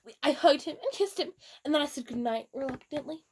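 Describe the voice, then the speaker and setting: A young woman with a high voice reads fan fiction aloud as comedy. Her delivery is casual and giggly, swinging into mock drama and stepping out for offhand asides. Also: expressive, brisk, very noisy. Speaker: amateur fan fiction reader.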